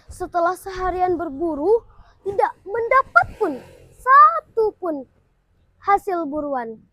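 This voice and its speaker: A woman's voice: extremely high pitch, fairly bright tone, dry recording. A primary school girl telling a folktale in a dramatic, declamatory style. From Indonesia. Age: child, primary school pupil. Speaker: student storyteller. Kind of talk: theatrical folktale storytelling.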